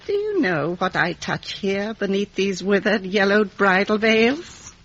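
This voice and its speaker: An actress playing a bitter, weary, commanding old lady recluse in a 1950s radio drama, with a husky voice. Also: mid-range voice, expressive, a little slow, fairly bright tone. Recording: dull vintage radio broadcast.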